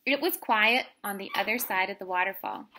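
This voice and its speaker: A woman reading a children's storybook aloud expressively, with deliberate, dramatic phrasing. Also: mid-range voice, vocal fry, pushed and bright, slight background noise. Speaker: storybook reader, teacher. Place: room at home.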